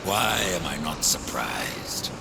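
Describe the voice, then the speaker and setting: A man playing a grim, sardonic horseman of the apocalypse in a game cutscene, dry and terse, with a very gravelly voice. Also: extremely low pitch, very animated, slow, very noisy, full character voice. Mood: wry, guarded. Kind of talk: video game cutscene acting. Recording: loud music behind voice.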